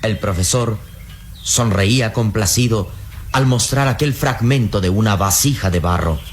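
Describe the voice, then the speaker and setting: A man's voice: lowish voice, a little slow, slight background noise. A man narrating a radio adventure serial in a solemn, declamatory, suspenseful style. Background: faint music.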